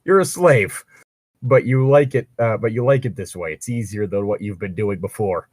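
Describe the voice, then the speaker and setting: A man's voice: fairly low pitch, moderate pace, darkish tone, dry recording. A man chatting casually and reading aloud as co-host, breaking off for sardonic, sweary asides. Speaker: co-host of a fan fiction reading show. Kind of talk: casual banter and read-aloud with commentary.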